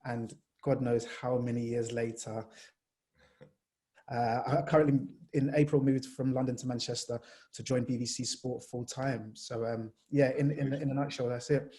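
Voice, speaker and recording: Young guy from jamaica, journalist, mellow tone, tells stories with lots of ums and energy. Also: mid-range voice, normal speed. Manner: animated storyteller, many fillers. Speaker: sports journalist. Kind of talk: casual panel talk.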